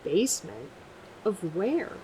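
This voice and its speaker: An actress playing an emotionally open, fragile member of a self-help group, hesitant and natural in an audio drama. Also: female voice, lowish voice, sing-song, slow, dark tone, slight background noise, low-quality recording. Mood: puzzled. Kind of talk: naturalistic audio fiction acting.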